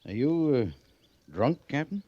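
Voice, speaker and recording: An actor playing an irascible, commanding cavalry major, curt and exasperated, in a slightly dull vintage radio drama recording. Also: male voice, mid-range voice, big pitch swings, slow, dry recording. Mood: irritated. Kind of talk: 1950s Western radio drama acting.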